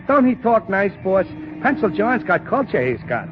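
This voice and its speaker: A man's voice: high-pitched, big pitch swings, normal speed. An actor playing a brash, good-natured, slangy New York cabbie who repeats his phrases, in 1940s radio style. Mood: impressed, eager. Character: good-natured, slangy, excitable working-class city cabbie. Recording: scratchy, dull 1940 radio recording.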